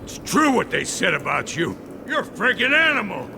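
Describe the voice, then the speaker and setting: An actor playing a blustering, panicky mob henchman in a video game, with an American accent and rough street talk. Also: high voice, big pitch swings, normal speed, background noise, exaggerated voice. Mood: defiant, frightened.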